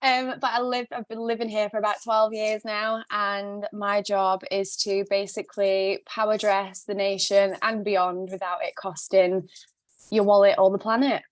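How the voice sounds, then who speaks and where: A woman with a light, mixed Glaswegian-tinged accent speaks in a podcast chat, passionate and blunt, swearing freely. Her voice sits at a middle pitch. It is recorded close and dry, with no room sound. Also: level tone, normal speed, dry recording. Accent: non-native Glaswegian mix. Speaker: guest, sustainable stylist. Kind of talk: casual podcast interview. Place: not originally from Glasgow, now lives there.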